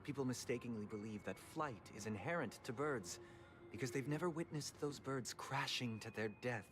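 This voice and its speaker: An actor playing a composed, solemn young man in a video game, a persuasive brother speaking in measured, formal phrases. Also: medium pitch, natural voice. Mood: solemn persuasion. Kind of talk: dramatic video game dialogue. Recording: music behind the voice.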